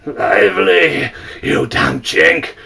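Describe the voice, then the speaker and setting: A man's voice: fairly low pitch, animated, slow, gravelly, distant mic, a crowd in the background, pitched below the performer's own voice, heavily acted. A man playing a brutal, furious old sea captain who bellows threats. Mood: raging.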